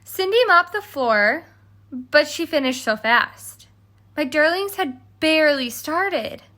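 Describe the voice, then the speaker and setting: A woman's voice: sits high, expressive, slow pace, pushed and bright, heavily acted. A woman reading a children's storybook as a haughty, exasperated stepmother who tells her side with dramatic emphasis. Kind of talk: scripted children's storybook read-aloud.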